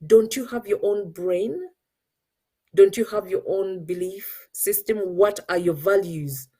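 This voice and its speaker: Middle-aged Ugandan-accented woman host, mellow dark tone, earnest and coaching. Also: mid-range voice, slow pace, slightly rough. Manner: earnest, mixes English with Luganda. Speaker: show host. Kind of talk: motivational talk.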